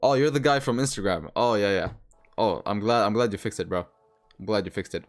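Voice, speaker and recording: A man's voice: fairly low pitch, fairly quick. A young man from Sweden, with roots in Eastern Europe, who learned to speak with an American accent. He hosts a trading livestream, chatting casually with viewers, using slang and repeating his phrases. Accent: learned American English. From Sweden, originally Eastern Europe. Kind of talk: casual live-stream chat reading comments.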